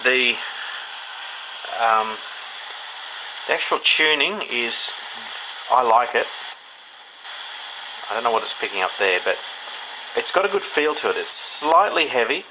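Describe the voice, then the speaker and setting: A man with an Australian accent giving a casual, unscripted hands-on product review, haltingly thinking aloud. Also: medium pitch, animated. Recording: faint background noise.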